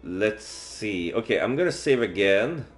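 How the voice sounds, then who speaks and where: A man with a slightly low voice reads game text aloud as a streamer. He mixes narration with casual asides, and faint game music sits behind him. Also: expressive, unhurried. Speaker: streamer playing a visual novel.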